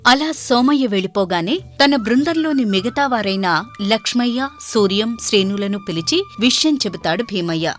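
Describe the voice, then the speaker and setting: A woman's voice: medium pitch, animated, moderate pace, soft background music. A woman reading a scripted moral story as its narrator.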